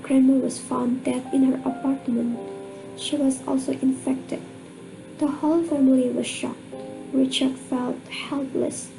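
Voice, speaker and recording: High-voiced woman narrator over music. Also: level tone, a little slow, gravelly, low-quality recording. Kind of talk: scripted storytelling narration for an amateur radio drama.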